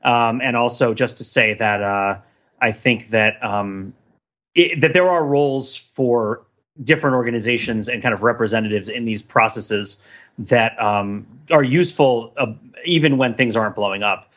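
A man co-hosting a podcast, chatting casually and with opinions, in an animated, rambling and emphatic way full of asides. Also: medium pitch, normal speed, muffled recording. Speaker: podcast co-host. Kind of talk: casual opinionated panel chat.

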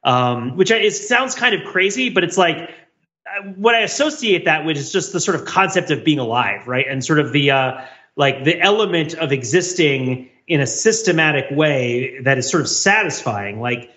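A man's voice: on the high side, lively intonation, moderate pace, sharp tone, muffled recording. A man co-hosting a podcast, talking reflectively in long, winding explanations. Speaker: podcast co-host.

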